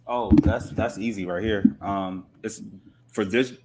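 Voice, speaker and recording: Low-voiced man giving assertive sports analysis. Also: steady tone, a little slow, noisy background. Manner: assertive, explanatory, punctuated with rhetorical questions. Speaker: podcast guest.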